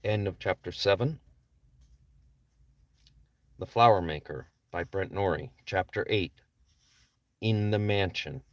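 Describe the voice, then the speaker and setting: A man's voice: low voice, slow, long pauses, no room sound. A man reading his own novel aloud as a scripted audiobook narration. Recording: distant microphone.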